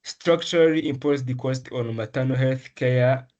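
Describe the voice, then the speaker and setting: A young man from Rwanda speaks at a middling pitch in an interview. His answers come earnestly and carefully, broken by pauses, fillers and restarts as he builds his points. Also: a little mellow, lo-fi sound. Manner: earnest, measured, with frequent ums and restarts. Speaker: medical student, essay prize winner.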